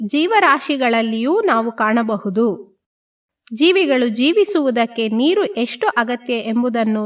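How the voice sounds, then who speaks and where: A woman leading a guided meditation in slow, calm, repetitive phrases with pauses between them. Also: a little high.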